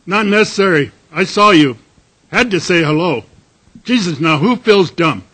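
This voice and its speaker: Man acting brash salesman type, radio drama, high-pitched, piercing, raspy, emphatic. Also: animated, moderate pace, bright, forceful tone, heavily acted. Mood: sheepish. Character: brash, emphatic travelling businessman from Dallas.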